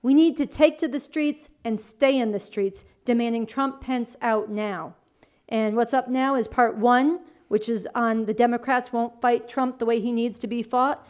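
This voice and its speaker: A woman's voice: fairly high pitch, steady tone, moderate pace, darkish tone, clear, resonant tone. A woman hosting a political talk show, introducing segments in an earnest, emphatic manner.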